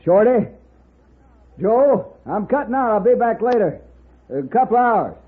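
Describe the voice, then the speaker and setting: A man's voice: high voice, very animated, unhurried. An actor playing a taunting, menacing hired gunman in a 1950s radio western, drawling folksy tough talk. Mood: grudging. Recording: echoing, tinny 1950s radio recording.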